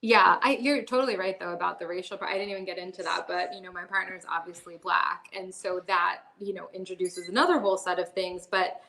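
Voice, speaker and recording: Woman podcast guest, bright kinda sharp voice, thinks out loud w lots of fillers. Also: fairly low pitch, fairly even intonation, quick pace, creaky, few pauses, low-quality recording. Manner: reflective, talks in long winding sentences with many fillers. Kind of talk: casual podcast conversation.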